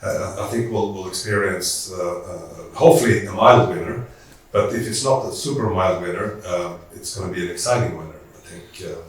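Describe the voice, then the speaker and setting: A man speaking on a conference panel, thoughtful and halting, with restarts as he works through his points. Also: low voice. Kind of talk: panel discussion. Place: conference hall. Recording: echoey hall, distant mic.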